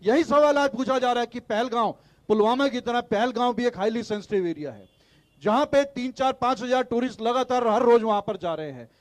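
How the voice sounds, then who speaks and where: A man's voice: very high-pitched, lively intonation, brisk. A man speaking as an opposition party spokesman in a heated TV debate, insistent and argumentative, pushing on through interruptions.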